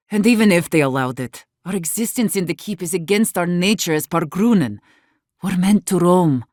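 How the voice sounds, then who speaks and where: A woman's voice: on the low side, no echo, studio quality, lightly acted. An actress voicing an embittered, defiant woman warden leader in a video game, weary but fierce, speaking in a blunt, earnest way. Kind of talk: dramatic game dialogue.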